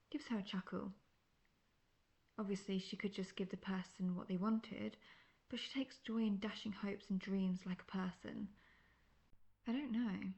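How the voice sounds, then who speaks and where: A woman's voice: medium pitch, darkish tone, lightly acted. A woman playing a seasoned, cocky monster hunter in a fantasy audio roleplay, talking in a wry, conversational, self-assured way. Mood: scornful, wry. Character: seasoned, cocky, world-weary female monster hunter, friendly mentor.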